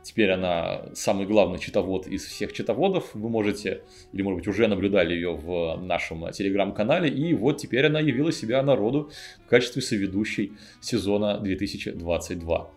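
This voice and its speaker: A man's voice: on the low side, animated, fairly quick, darkish tone, soft background music. A man whose native language is Russian, hosting a podcast in a casual, joking, informal way. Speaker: podcast host.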